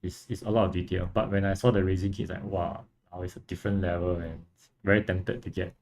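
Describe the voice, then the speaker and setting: A young man from Singapore with a Singaporean accent, casually chatting on a livestream and answering viewers in a relaxed, rambling way. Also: low voice, a little fast, a little hiss or hum. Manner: relaxed, rambling, frequent fillers and restarts. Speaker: livestream host and model kit builder.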